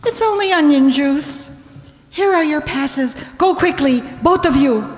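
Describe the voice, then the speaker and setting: A woman's voice: high-pitched, expressive, measured pace. A woman playing a defiant, devoted young heroine in a melodramatic radio play, declaiming with theatrical passion. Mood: urgent, tender. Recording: echoing hall reverb.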